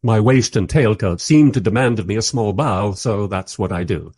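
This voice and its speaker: A man playing a wry, politely exasperated film director who narrates a radio play in conversational asides with a husky voice. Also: fairly low pitch, normal speed, a little mellow, no echo, clean studio sound.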